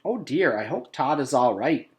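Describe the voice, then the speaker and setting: A kindly schoolteacher from a children's book, read by a man. She speaks earnestly and reassuringly, with a gentle manner. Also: medium pitch, very animated, moderate pace, pitched above the performer's own voice, natural voice. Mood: worried.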